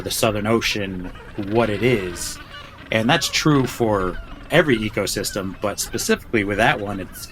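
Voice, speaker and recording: A man's voice: on the low side, a bit bright, slight background noise. A man talking casually as the host of a podcast discussion.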